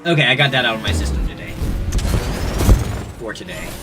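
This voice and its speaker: A man's voice: mid-range voice, expressive, brisk. A male gaming streamer, chatting and ranting casually to viewers in a rambling, droning way with many restarts. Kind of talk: casual livestream chat. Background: clear background music.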